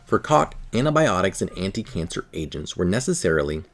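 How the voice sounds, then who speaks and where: A man reading a scientific article aloud in a careful, measured manner. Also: sits low, sing-song. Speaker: article reader.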